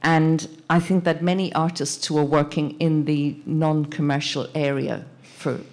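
Female panel moderator, middle-aged, low register, thoughtful, searching delivery. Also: level tone, a little mellow. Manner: halting, searching for words. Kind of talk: thoughtful panel discussion speech. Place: indoor panel event in a plain gallery room. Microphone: handheld microphone.

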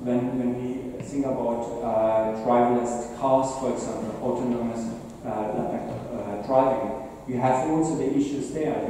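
A man's voice: mid-range voice, mellow. Echoey, distant German-accented man presenting. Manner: halting, hesitant phrasing with repeated words. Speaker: conference speaker. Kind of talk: informal presentation on cybersecurity. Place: indoor meetup presentation room with seated audience. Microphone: distant camera at back of room. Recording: roomy echoing hall, distant mic, faint noise.

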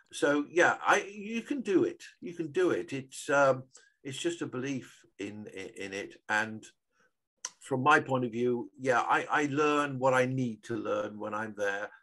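A man's voice: medium pitch, bright, forceful tone. An older Englishman speaking earnestly and encouragingly as a guest on a video call. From England. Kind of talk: motivational conversation on a video call.

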